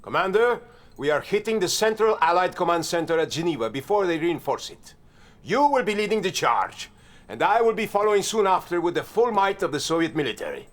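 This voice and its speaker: An actor playing an arrogant, blustering Soviet general with a Russian accent, haughty and forceful, in a video game cutscene. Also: male voice, sits high, very animated, brisk. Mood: confident.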